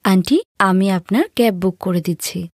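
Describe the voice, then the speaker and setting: A woman's voice: mid-range voice, moderate pace, no room sound, clean studio sound, close to an everyday voice. Woman acting a helpful young seamstress daughter. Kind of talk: Bengali animated moral story acting.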